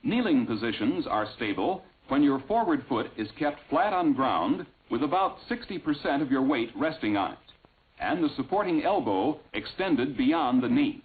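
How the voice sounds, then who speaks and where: A man reading scripted instructional narration in a measured, clearly enunciated way, on an old film soundtrack. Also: mid-range voice, sing-song. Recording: tinny, band-limited vintage film soundtrack.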